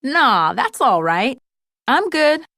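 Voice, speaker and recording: A woman's voice: sits high, big pitch swings, slow, pushed and bright, no echo, studio quality, pitched above the performer's own voice, heavily acted. A woman voicing a nervous male toy bouncy ball in a storybook, boyish and hesitant, full of bravado. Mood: defensive. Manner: hesitant, protesting, putting on bravado.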